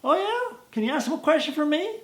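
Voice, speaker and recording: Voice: sits high, big pitch swings, fast talker, fairly bright tone, voice pushed up. A storyteller voicing a skinny, pitiful little wolf who is friendly and eager. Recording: roomy echo.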